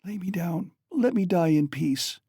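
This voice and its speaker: A reader voicing a dying, delirious mother, hoarse and gasping, who shrieks and wails. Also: high voice, lively intonation, measured pace, warm tone, dry recording, clean studio sound, pitched above the performer's own voice, exaggerated voice. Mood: bitter. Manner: shrieking, frantic, wailing.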